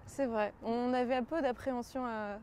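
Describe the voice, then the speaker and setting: A woman's voice: on the high side, fairly even intonation, moderate pace, darkish tone, a little hiss or hum. A woman aged twenty-nine, speaking casually in an interview as a design student. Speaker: design student on a work-study program.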